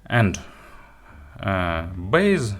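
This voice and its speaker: A man who is not a native English speaker gives an unscripted product review, haltingly, often searching for words and rephrasing. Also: on the low side, sing-song. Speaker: audio gear reviewer and host. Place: indoor studio.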